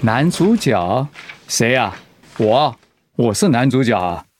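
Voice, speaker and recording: A man's voice: mid-range voice, very animated, slow. An actor playing an impatient, straightforward and slightly clueless man in a radio drama. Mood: puzzled.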